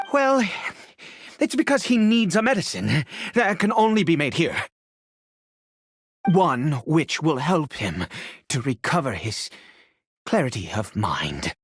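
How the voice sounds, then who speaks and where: A man voicing a weary, centuries-old cursed nobleman and father in a video game, speaking formally and haltingly, with grief. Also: mid-range voice, sing-song, slight background noise. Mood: hesitant, earnest. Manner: halting, hesitant, sighing, formal wording. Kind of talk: dramatic video game voice acting. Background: background music.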